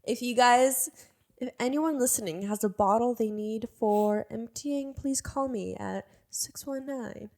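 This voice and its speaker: Giggly tipsy young woman, rather high voice. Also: unhurried, vocal fry. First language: Russian. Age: young adult. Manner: giggly, loud laughs, playful, rambling. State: drunk. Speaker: guest. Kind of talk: tipsy casual podcast chat.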